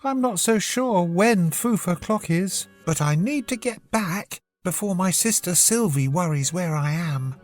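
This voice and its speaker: A woman's voice: lowish voice, expressive, measured pace, hardly any pauses, darkish tone, faint music behind, voice pushed up. A woman voicing a polite young girl in a children's story, a little puzzled and eager to help. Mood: curious, willing. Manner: polite, questioning.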